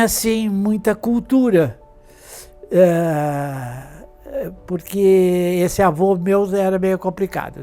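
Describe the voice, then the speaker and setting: An elderly man in his eighties, from Brazil, with a notably high voice, recalls his family in a meandering way, restarting sentences and checking in with tag questions. Faint music sits behind him. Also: a little mellow. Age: 80s. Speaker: interviewed artist and fashion designer. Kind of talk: reminiscing in an interview.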